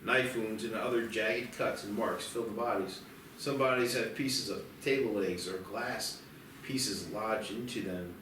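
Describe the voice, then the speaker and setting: A man's voice: fairly low pitch, unhurried, a bit bright, slight background noise. A man reading scripted screenplay directions as the narrator of a table read. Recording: very echoey, distant, music behind.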